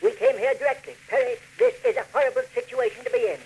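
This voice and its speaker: High-pitched, agitated scientist in old radio drama. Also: male voice, quick pace, darkish tone, poor audio. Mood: distressed. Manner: formal, earnest, alarmed speech. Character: agitated male scientist inventor, grave and helpless. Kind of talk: 1940s radio acting. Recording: hissy 1940s radio recording.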